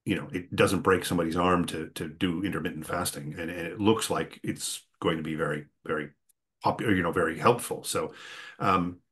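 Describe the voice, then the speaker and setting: A man hosting a writers' workshop conversation, talking in a halting way with many fillers and restarts. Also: low voice, steady tone, fairly bright tone. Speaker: host. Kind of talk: conversational interview.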